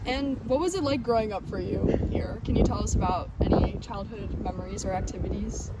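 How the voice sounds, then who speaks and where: A woman's voice: medium pitch, fairly quick, recorded from a distance. Woman interviewer, encouraging, short affirmations, off-mic, heavy traffic noise. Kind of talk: oral history interview.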